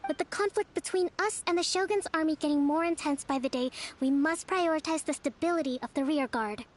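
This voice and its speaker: A woman playing a composed, caring young resistance leader in a video game, speaking in a formal, measured, earnest way. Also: sits high, lightly acted. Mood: firm. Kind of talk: scripted video game dialogue.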